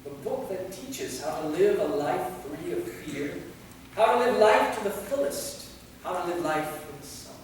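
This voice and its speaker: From far off in a very echoey hall comes a man acting a weary, fearful immortal in a staged play. His voice sits fairly high and moves animatedly, with a regretful, bookish temper. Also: sing-song, normal speed. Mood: quietly hopeful. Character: weary six-hundred-year-old male immortal, fearful, regretful, bookish. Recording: very echoey hall, far mic, faint noise.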